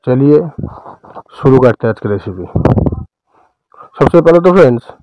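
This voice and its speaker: A man with an Indian accent narrating a recipe step by step as a cooking host, in a smooth, clear voice. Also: mid-range voice, expressive, moderate pace, no room sound, dull audio.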